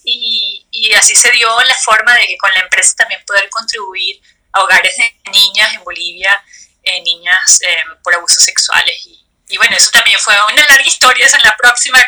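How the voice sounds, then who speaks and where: Venezuelan businesswoman guest, soft and hesitant, very bright thin tone over a tinny call line. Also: medium pitch. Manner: soft-spoken, hesitant, searching for words. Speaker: guest entrepreneur. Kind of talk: interview answers.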